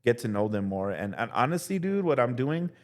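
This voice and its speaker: A young male teacher telling casual stories as a podcast guest, relaxed and full of "like" and "dude". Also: medium pitch, fairly quick. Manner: relaxed, heavy use of "like" and "dude". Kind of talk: casual storytelling chat.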